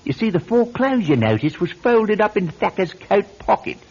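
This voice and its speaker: A dull-sounding radio mystery recording of a pompous English detective. His husky British voice is fairly high and swoops dramatically as he makes precise, accusatory pronouncements. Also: sing-song, normal speed, a little mellow, heavily acted. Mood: triumphant. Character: pompous English detective, secretly an American actor in disguise.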